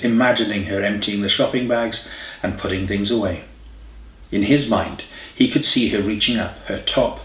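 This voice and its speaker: A man's voice: lowish voice, a bit bright. Man narrating in an echoey room. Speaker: audiobook narrator. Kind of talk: scripted story narration. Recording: echoey room, distant mic, faint hiss.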